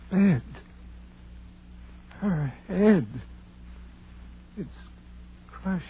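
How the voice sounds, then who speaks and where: An excitable country landlord in a vintage radio horror play. He speaks very slowly and haltingly, with big melodramatic swings in pitch. The sound is thin, tinny and faintly humming. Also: exaggerated voice. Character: horrified, indignant landlord of a country lodging. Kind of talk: 1940s radio horror acting. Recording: tinny old radio recording with hum.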